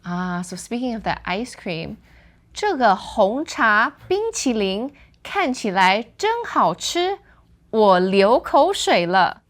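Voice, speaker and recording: A woman co-hosting a Chinese lesson, explaining words and saying slow, careful example sentences. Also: medium pitch. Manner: lively, switches into slow careful Mandarin examples. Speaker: Chinese teacher co-host. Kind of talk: language lesson chat.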